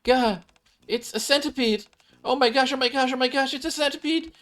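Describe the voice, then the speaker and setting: A streamer voicing an excitable young female survivalist from a video game, with gushing exclamations. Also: high-pitched, brisk, voice pushed up. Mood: panicked. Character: excitable young woman survivalist, squeamish about bugs. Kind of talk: acted reading of video game dialogue.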